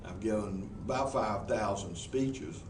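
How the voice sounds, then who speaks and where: A man's voice: fairly low pitch, measured pace. A man with a Southern US accent, a professional speaker answering interview questions before a group in a meeting room, folksy and earnest with frequent restarts. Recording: echoey room, mic far off, noisy.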